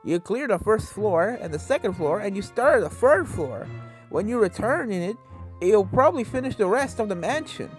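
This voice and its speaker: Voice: medium pitch, expressive, moderate pace, close to an everyday voice, low-quality recording. A narrator voicing an earnest, caring mentor character in a cartoon fan story, with music behind. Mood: impressed. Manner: earnest, encouraging.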